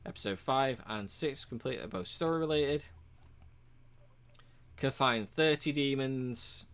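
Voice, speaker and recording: A man casually walking through a game's achievements, relaxed and rambling, with frequent ums and uhs. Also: medium pitch, unhurried, smooth. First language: English. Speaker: game reviewer.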